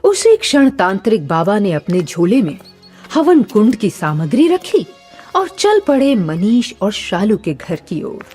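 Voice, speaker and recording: A woman narrates dramatically, with a soft-edged, animated voice on the higher side. It is scripted horror storytelling over faint music.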